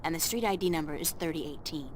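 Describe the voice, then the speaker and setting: An actress playing a young woman adventurer in a video game, wry and expressive. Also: low-pitched, fast, slight background noise. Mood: matter-of-fact. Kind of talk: video game character acting.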